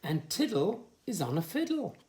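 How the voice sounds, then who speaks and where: A man's voice: sits high, sing-song, moderate pace, a little mellow, voice pushed up. A man voicing a critical, bossy but resourceful frog, speaking emphatically in a children's storybook. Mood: pleased, bossy.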